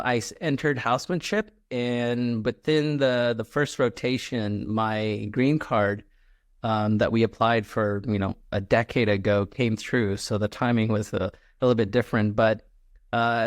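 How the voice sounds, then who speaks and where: A man's voice: on the low side, no room sound. Guy from malaysia telling his story, lots of ums, dry close mic. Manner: relaxed, halting, many ums and uhs. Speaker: guest, clinical scientist. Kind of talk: interview answers.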